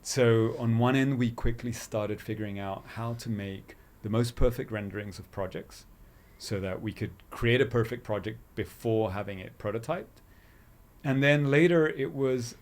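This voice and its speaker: A man's voice: on the low side, darkish tone. A man from Chile, raised in London, talking conversationally as an artist guest in an interview.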